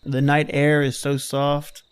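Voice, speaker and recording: A man's voice: on the high side, completely flat delivery, takes it slowly, clear, resonant tone. A man playing a grumbling, slangy young fiancé in an old-time radio drama, with a wry, exasperated manner. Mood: romantic, resigned. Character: grumbling wisecracking young fiancé dreading his boss-lady's party. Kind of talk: 1930s radio drama acting.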